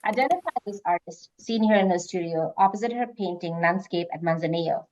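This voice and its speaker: Woman, lowish pitch, quiz host, reading questions, informal moderating, muffled lo-fi recording. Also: brisk, darkish tone. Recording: dull lo-fi online call audio.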